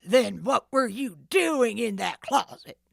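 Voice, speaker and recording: A woman's voice: medium pitch, animated, measured pace, no echo, studio quality. A woman voicing a snarling, sneering male thief who leads a gang of crooks, threatening and hard. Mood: menacing.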